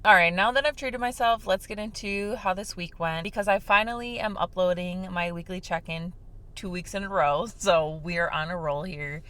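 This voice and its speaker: Soft-spoken young woman, a bit hoarse. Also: fairly low pitch, moderate pace. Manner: talking softly on purpose, filler-heavy and rambling. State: slightly hoarse from shouting the day before. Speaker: vlog host. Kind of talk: casual chat while sipping a drink. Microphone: mic without windscreen.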